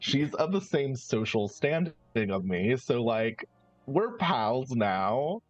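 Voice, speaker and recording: A man chatting casually and haltingly out of character as a player in a tabletop roleplaying game. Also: mid-range voice, sing-song, no room sound. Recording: dull lo-fi sound, background music.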